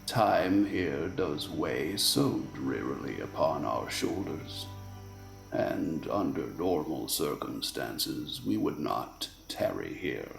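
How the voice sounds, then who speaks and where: A man's voice: a bass voice, gravelly, echoey room, full character voice. An actor playing a haughty, sinister fae king in a radio play, with theatrical, drawling disdain.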